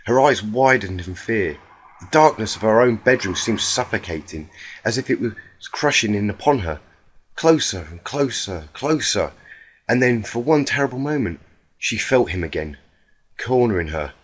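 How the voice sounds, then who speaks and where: Low-pitched man reading a story dramatically. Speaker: fan fiction reader. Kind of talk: dramatic scripted story narration.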